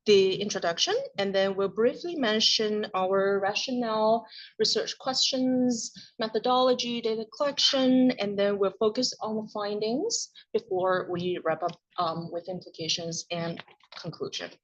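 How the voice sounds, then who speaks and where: A woman giving an academic conference presentation in a measured way, with occasional filler sounds. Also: mid-range voice. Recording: dull lo-fi recording.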